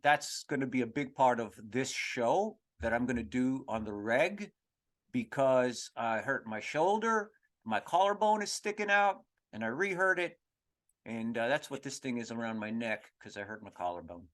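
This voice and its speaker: A man hosting a podcast, giving casual comedic commentary in a rambling, halting way with crude slang. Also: mid-range voice, animated, fairly bright tone. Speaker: podcast host.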